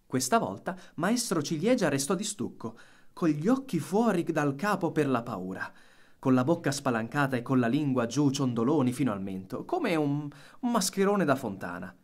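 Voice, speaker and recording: A man reading a children's story aloud as an expressive audiobook narrator. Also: a little high, moderate pace.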